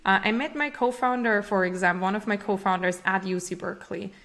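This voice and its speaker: A woman's voice: mid-range voice, a little fast, a bit bright. Austrian-born woman, earnest startup founder. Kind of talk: interview answers.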